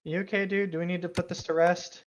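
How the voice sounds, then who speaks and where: A man's voice: high-pitched, level tone, fast. A man co-hosting a casual fan fiction read-aloud, slipping between mock dramatic reading and sarcastic, slangy asides.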